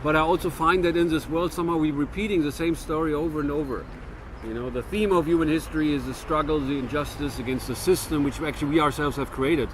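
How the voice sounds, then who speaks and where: A man's voice: fairly high pitch, steady tone, quick pace, background noise. A man in his fifties from Germany with a German-American accent, musing earnestly to camera in a reflective monologue with frequent restarts. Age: 50s. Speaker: vlogger.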